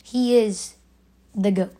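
A raspy young woman hosting her own podcast, arguing her opinion emphatically and repeating her points. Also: mid-range voice, steady tone, slow pace, warm tone. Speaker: podcast host. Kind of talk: opinionated solo podcast rant.

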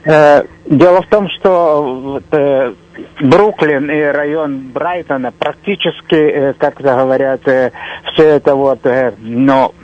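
A man's voice: on the high side, fairly even intonation, a little slow. Hesitant male caller, muffled line. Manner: hesitant, many fillers. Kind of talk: phone-in call. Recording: dull lo-fi phone call.

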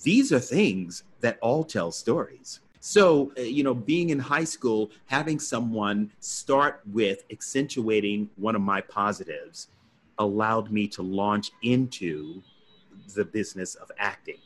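A man with a baritone voice, a guest actor reflectively telling his story in an interview. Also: expressive, a little slow, slightly rough. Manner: reflective, acts out his own past thoughts.

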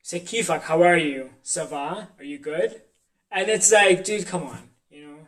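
A man from Lebanon chatting casually and relaxed, swearing now and then. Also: fairly high pitch, measured pace. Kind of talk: casual chat. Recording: distant from mic.

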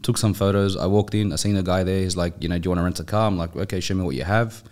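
A man's voice: low voice, steady tone, very fast, a little mellow. A man with an Australian accent talking casually and conversationally as a guest in a podcast interview. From Australia, raised in Sydney. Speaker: interview guest, business owner.

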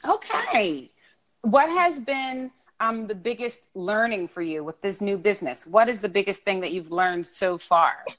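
A woman's voice: mid-range voice, lively intonation, unhurried, fairly bright tone. A woman hosting a podcast, upbeat and encouraging, asking her guest questions in a casual conversation. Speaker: podcast host. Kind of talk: casual interview and intro. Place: Brooklyn.

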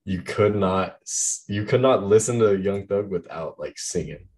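A young man with a deep voice chatting casually about the music he loves, rambling with lots of fillers. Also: unhurried, darkish tone. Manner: rambling, heavy use of "like".

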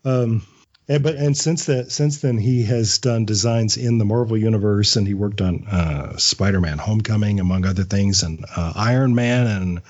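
A man in his fifties chatting casually as a podcast guest, telling stories in a halting, anecdotal way on dull, lo-fi audio. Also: lowish voice, darkish tone. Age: 50s. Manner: chatty storyteller, halting with repeated words, chuckles often. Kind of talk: casual interview chat.

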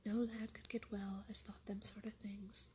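A gentle Yorkshire farm boy talks in a steady hush, as a woman reading a storybook whispers him. His Yorkshire dialect stays soft and even, with very little rise and fall. A faint hiss sits under the whisper. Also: mid-range voice, normal speed, close to an everyday voice.

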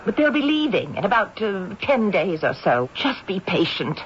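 A woman playing a brisk, determined homeowner. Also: medium pitch, very animated, close to an everyday voice. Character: Miss Logan, practical woman homeowner. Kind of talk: 1970s radio drama acting. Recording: dull old radio recording, faint hiss.

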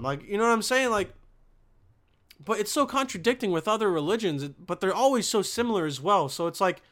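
A man's voice: sits high, fairly bright tone. A young man from Bosnia talking in a casual, rambling monologue, swearing often and restarting his sentences. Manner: profane, restarts sentences, slangy.

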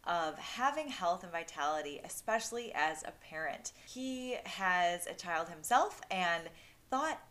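A woman's voice: fairly low pitch, animated, a little slow, a bit bright. Upbeat woman podcast host. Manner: upbeat and encouraging, with a recorded intro. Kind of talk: podcast interview.

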